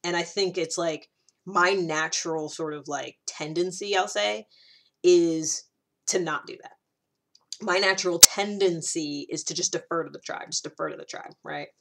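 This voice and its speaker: A woman with a fairly low voice talks in a casual, explanatory monologue. She works through her ideas aloud in a conversational manner, putting emphasis on key words as she goes. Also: fairly even intonation, moderate pace, vocal fry, a bit bright. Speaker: personality typing vlogger.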